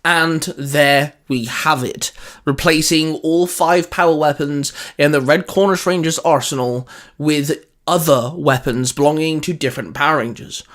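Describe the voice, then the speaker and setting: Higher-pitched man hosting a casual discussion. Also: unhurried, a bit bright. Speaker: host.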